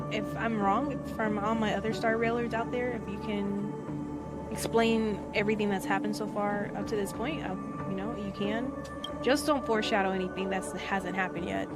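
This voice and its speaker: A woman streamer with a mid-pitched voice chats casually as she plays a game. She thinks aloud, hesitant and rambling, with loud game music behind her. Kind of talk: casual gameplay commentary.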